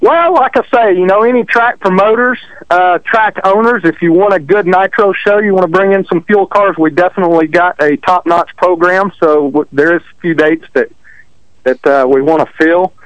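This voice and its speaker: A man's voice: sits high, normal speed, no room sound, highs cut off. Easygoing Texan man on the phone. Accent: Texan country. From Texas. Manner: easygoing, self-deprecating storyteller. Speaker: drag racer guest. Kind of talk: casual interview chat. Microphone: phone call.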